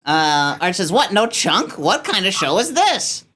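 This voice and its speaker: A woman's voice: lowish voice, very animated, unhurried, a bit bright. A woman chatting casually as a stream host and performer, jokey and teasing, poking fun at herself and reading out viewer messages. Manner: jokey, teasing, self-deprecating. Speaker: stream host and performer in a radio play cold-read show. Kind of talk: casual unscripted group chat, reading viewer donations.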